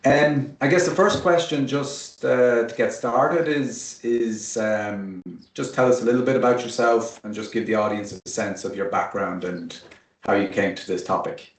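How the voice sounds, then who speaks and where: A man's voice: medium pitch, fairly even intonation, normal speed, far from the mic. A man hosting an online interview in a casual, chatty way, joking at his own expense. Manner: chatty, jokey and self-deprecating. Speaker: host of an online webinar. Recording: roomy sound from away from the mic.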